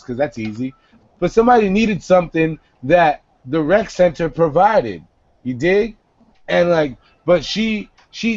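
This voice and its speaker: Brief: young New man, video-call host, lively and foul-mouthed, dry lo-fi headset sound. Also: no room sound. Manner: animated and profane, swings between low and high voice. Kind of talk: casual chat on a video call. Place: small home room indoors.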